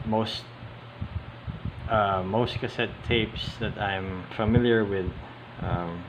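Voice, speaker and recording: A man with a slightly low voice talks very slowly through an informal, unscripted hands-on demonstration. He is hesitant, often stopping mid-sentence before carrying on. The recording has loud, steady noise and clicks behind him. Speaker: hobbyist video host. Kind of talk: casual unscripted show-and-tell commentary. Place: indoors at a tabletop. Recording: steady loud air-conditioning hiss, handling clicks. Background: loud background noise, clicks.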